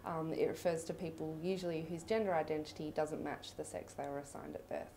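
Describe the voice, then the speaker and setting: A woman calmly explaining a definition. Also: sits low, fairly quick, a little hiss or hum.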